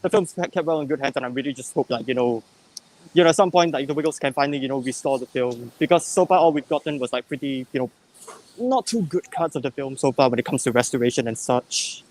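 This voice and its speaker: A man's voice: mid-range voice, quick pace, a little mellow, low-quality recording. A wordy man chatting casually as a panel member in an online group call, often saying "you know". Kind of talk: casual group live-stream chat.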